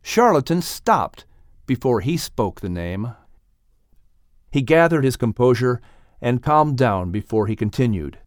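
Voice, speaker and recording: Slow, measured male storybook narrator. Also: mid-range voice, sing-song, no echo, studio quality. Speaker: audiobook narrator. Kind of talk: scripted dramatic storytelling narration.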